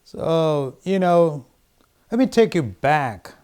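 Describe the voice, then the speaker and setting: A man's voice: a little high, very animated, takes it slowly, a little mellow, smooth. Middle-aged man, Nepali accent, political candidate, earnest and repetitive, plenty of restarts. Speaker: congressional candidate. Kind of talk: interview answers.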